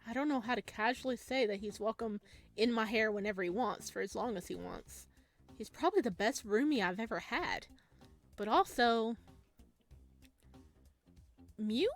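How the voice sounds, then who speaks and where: A woman reading a visual novel aloud as a let's player, casual and expressive, with jokey commentary. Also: on the high side, moderate pace, pauses often, soft background music.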